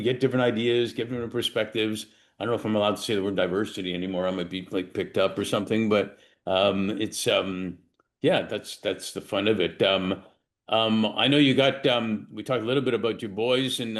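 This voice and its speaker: A man's voice: fairly low pitch, little pitch movement, a little fast. A man hosting a casual interview series, chatty and jokey, laughing often between questions. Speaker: interview host.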